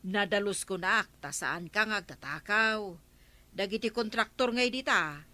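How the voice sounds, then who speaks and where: A woman's voice: mid-range voice, unhurried, bright, forceful tone. A woman with an Ilocano accent playing a frank village neighbour giving advice in a radio comedy drama. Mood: advising.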